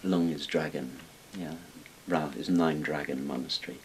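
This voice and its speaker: A man's voice: extremely low pitch, fairly even intonation, normal speed, a little mellow, rough recording. An older man from Wales explaining things casually and discursively as an interviewed expert, in a small book-lined room. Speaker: interviewed expert on Chinese dragons. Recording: hissy background noise.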